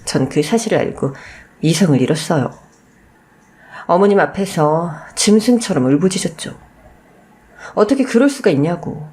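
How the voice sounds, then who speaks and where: A female narrator reads a scripted personal story in the style of a radio drama. Her mid-pitched voice moves with very lively intonation. The delivery is dramatic and told in the first person.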